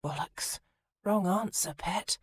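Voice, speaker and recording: A woman voicing a crude, menacing male highwayman in an audiobook, coarse and slow. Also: slightly rough, dry recording.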